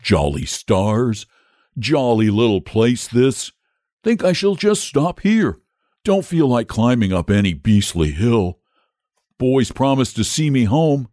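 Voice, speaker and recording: A man's voice: mid-range voice, very animated, slow, warm tone, no echo, clean studio sound. A man voicing a big, lazy, self-important storybook dragon with jovial, clipped old-fashioned upper-class slang. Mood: drowsy, contented.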